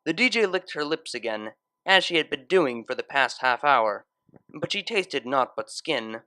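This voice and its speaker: A man's voice: medium pitch, expressive, moderate pace, bright, forceful tone, dry recording. A man narrating a scripted story in a dramatic, literary style. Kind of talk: expressive scripted fan fiction narration.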